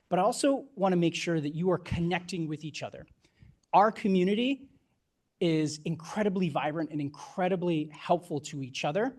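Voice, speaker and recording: Man, somewhat high pitch, event host, welcome speech, earnest, deliberate public speaking. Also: darkish tone. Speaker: event host introducing the board chair. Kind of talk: welcome address to a live audience.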